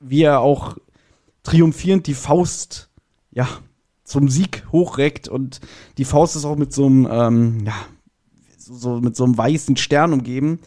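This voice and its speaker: A man speaks as a guest in a relaxed review chat. His voice is mellow and rather dark, at an ordinary middle pitch for a man. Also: a little slow. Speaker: podcast guest.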